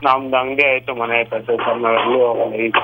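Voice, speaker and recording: A man's voice: mid-range voice, little pitch movement, quick pace. A man of twenty-two in a casual phone conversation, polite but curt and ready to argue. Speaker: prank call victim, shop worker. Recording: noisy phone call.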